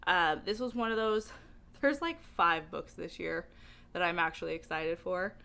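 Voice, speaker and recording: Woman, book vlogger, bright voice, animated and emphatic, casual chatty delivery. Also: fairly low pitch, moderate pace, creaky. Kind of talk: casual book chat to camera.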